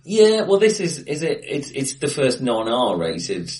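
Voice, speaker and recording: A man co-hosting a casual film discussion, talking in halting stops and restarts with frequent ums and a ready laugh. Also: mid-range voice, huge pitch swings, far from the mic. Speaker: podcast co-host. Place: acoustically bright room, face to face. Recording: bright room, voice a little off-mic.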